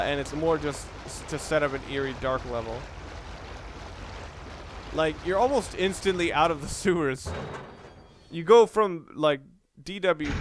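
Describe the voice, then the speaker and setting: Male gameplay commentator, highish voice, informal and stop-start, clearly noisy recording. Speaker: let's play commentator.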